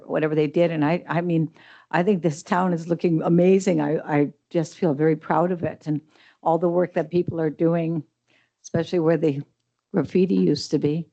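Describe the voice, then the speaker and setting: An older woman with a big voice speaks up at a council meeting, low and mellow. She rambles and restarts her sentences often. The recording is dull and lo-fi. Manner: rambling and hesitant, with many restarts. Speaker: resident speaking from the public gallery. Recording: dull lo-fi council chamber mic.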